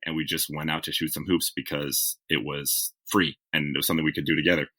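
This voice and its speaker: A man's voice: very deep voice, little pitch movement, fast talker, bright, forceful tone. A man being interviewed as a TV writer, telling stories in a reflective, self-correcting conversational way. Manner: reflective, self-correcting, with frequent "like" and "you know". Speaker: guest, TV writer.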